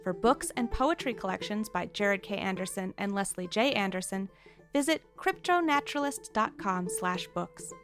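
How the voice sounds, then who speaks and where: A woman's voice: medium pitch, lively intonation, moderate pace. A woman reading scripted podcast credits as an announcer. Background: plucked string music.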